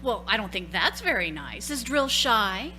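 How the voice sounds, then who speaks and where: An actress playing an amused, indulgent mother in a radio play, gently chiding and inquisitive. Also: medium pitch, very animated, a little slow, soft background music. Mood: curious, chiding. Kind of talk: live radio play acting.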